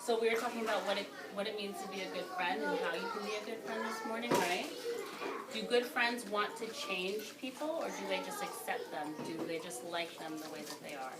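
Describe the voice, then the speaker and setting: A woman's voice: medium pitch, fairly even intonation, brisk. Woman teacher, distant in a noisy, echoey room. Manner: simple, instructive phrasing for small children, with repetition. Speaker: preschool teacher. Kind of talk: reading a picture book aloud and questioning young children. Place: classroom, indoors. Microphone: phone camera at a distance. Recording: roomy, distant phone video, loud background noise.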